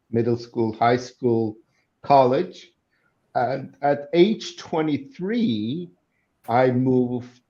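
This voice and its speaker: A man in his sixties from Turkey, a guest telling his story slowly and in measured phrases on a video call. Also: on the low side, warm tone, highs cut off. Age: 60s. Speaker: guest speaker. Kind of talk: online interview.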